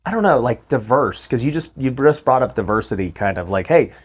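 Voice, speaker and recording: A man's voice: mid-range voice, fairly quick, breathy edge. A man hosting a podcast, chatting casually and agreeably with his guest, dropping "bro" and "like". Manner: relaxed, agreeable, says "bro" and "like". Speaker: podcast host.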